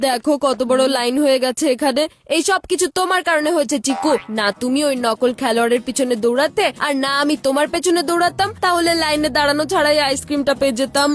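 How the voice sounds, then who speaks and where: Cartoon kid sidekick, female voice actor: very high pitch, whiny, food-loving, pleading, soft music bed. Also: fast talker, few pauses, close to an everyday voice. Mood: annoyed. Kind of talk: animated cartoon dubbing.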